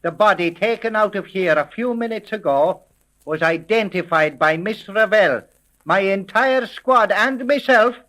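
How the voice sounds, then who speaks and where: An actor playing a blunt, excitable police sergeant in a 1930s radio drama, with blustering, folksy speech. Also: male voice, high voice, a little slow, heavily acted, rough recording. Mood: blunt, insistent. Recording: vintage 1930s radio recording.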